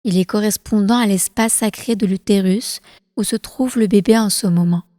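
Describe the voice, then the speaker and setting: A woman leading a guided relaxation meditation as instructor, calm and soothing, with slow, deliberate phrasing. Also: mid-range voice, no echo, studio quality, long reverb tail. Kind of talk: guided prenatal meditation, yoga nidra.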